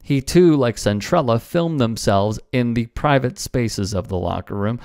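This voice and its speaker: A man's voice: mid-range voice, unhurried, vocal fry, darkish tone, dry recording, clean studio sound. Stern male sports news commentator.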